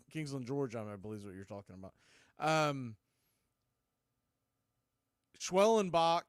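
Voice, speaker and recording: Higher-voiced man calling baseball. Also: clean tone, no echo, studio quality. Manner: folksy, informal, addresses chat listeners. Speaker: baseball play-by-play streamer and show host. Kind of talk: casual live sports commentary.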